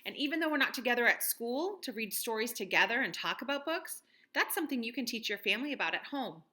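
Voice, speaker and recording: A woman's voice: fairly high pitch, animated, fast talker, sharp tone. A woman in her thirties giving a read-aloud lesson to children like a teacher, encouraging them with simple, direct questions. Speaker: elementary school teacher. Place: room at home.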